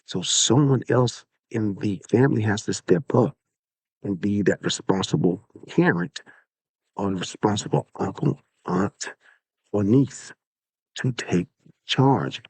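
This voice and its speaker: Podcast host, male, 60s, low register, stuffed up with a cold, earnest and exhorting, casual solo talk, dry recording. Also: slow, a little mellow, slightly rough, no echo. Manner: earnest, exhorting, repeats points. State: sick with a head cold.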